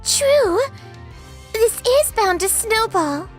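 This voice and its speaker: A woman playing a loyal, excitable young office junior in a manga dub. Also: extremely high pitch, slow pace, soft background music, heavily acted. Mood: worried agreement.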